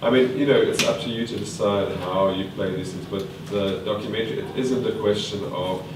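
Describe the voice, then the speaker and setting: A man's voice: on the low side, steady tone, a little fast. A man leading a filmmaking workshop, quizzing the group and thinking aloud with fillers and restarts. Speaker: workshop trainer. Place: large meeting room with a live group. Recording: echoey room from far off, noisy.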